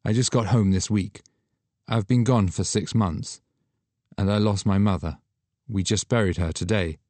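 A man voicing a subdued young woman, a retired model, who answers in a quiet, understated way. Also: fairly low pitch, moderate pace, no room sound, close to an everyday voice.

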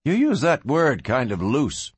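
A man voicing a stubborn, quietly menacing gunslinger in a Western storybook, with a measured manner. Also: medium pitch, slightly rough, no room sound, full character voice. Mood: cool warning. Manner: flat, measured, soft-spoken warnings. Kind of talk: dramatised audiobook reading.

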